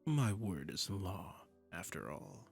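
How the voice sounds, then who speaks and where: Man voicing a slow, silky, imperious demon prince. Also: deep voice, big pitch swings, gravelly, a little mellow, husky, soft background music, full character voice. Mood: smug, coaxing. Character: seductive, commanding male demon prince claiming a captive singer. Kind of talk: romantic roleplay audio drama.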